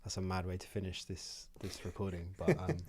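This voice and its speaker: A man runs a casual conversation, his voice sitting on the low side. He talks in an easy, rambling way and often restarts his questions. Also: a little fast, slightly rough. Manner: relaxed, rambling, with many restarts. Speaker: podcast host. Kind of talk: casual chat.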